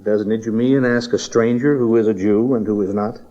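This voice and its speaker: A man's voice: fairly low pitch, steady tone, a little fast, dark tone, lightly acted. An actor playing a proud, clever young wise man from the East in a Bible audio drama, lofty and declamatory. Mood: firm, courteous. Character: proud young male magus, haughty, clever Eastern sage. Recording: dull cassette tape audio drama.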